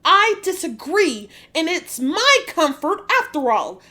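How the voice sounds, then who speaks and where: A man voicing an angry, grieving young princess in a dark fairy tale, shrieking and imperious. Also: extremely high pitch, very animated, slow, voice pushed up, heavily acted. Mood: defiant, imperious.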